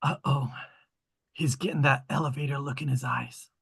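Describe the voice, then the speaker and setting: A man reading the part of an earnest, excitable young adventurer boy at a film-script table read, with a gravelly, husky voice. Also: mid-range voice, moderate pace, full character voice. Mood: earnest explaining.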